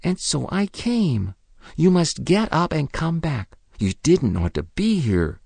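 A man's voice: on the high side, big pitch swings, takes it slowly, vocal fry, darkish tone, breathy edge, no echo, voice pushed up, exaggerated voice. A man reading aloud and voicing a small boy who pleads earnestly and tearfully. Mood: pleading, tearful. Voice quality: childish, pleading.

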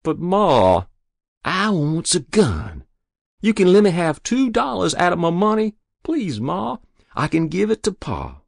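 Storybook farm boy, teens, played by a man: rural Southern drawl, raised pitch, earnest, insistent, pleading. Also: lively intonation, normal speed, hardly any pauses, a little mellow, no room sound, studio quality. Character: eager, pleading teenage farm boy longing for a gun.

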